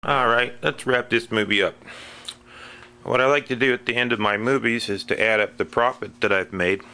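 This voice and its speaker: A man's voice: medium pitch, normal speed, pushed and bright, slight background noise. A middle-aged man narrating a casual handheld vlog about storage auctions, dry and matter-of-fact, recorded outdoors on a camera mic. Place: outdoors at a swap meet and a storage unit.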